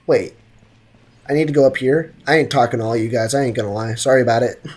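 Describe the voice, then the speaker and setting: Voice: medium pitch, quick pace, a little mellow. A game streamer chatting casually with viewers in a slangy, joking, mock-boastful way. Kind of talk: casual gaming livestream commentary, chatting with viewers.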